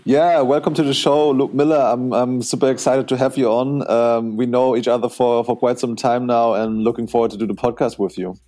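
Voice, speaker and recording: A man's voice: mid-range voice, steady tone, fairly quick, hardly any pauses, warm tone, faint music behind. A man hosting a podcast, asking questions with many restarts and fillers. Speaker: podcast host. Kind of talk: casual interview.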